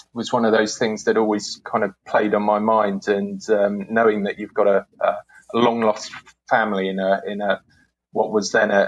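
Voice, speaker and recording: A man's voice: on the low side, rough recording. A man from the UK, in his forties, answering interview questions in a hesitant way with many restarts and fillers. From United Kingdom. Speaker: guest, historian. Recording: faint background noise.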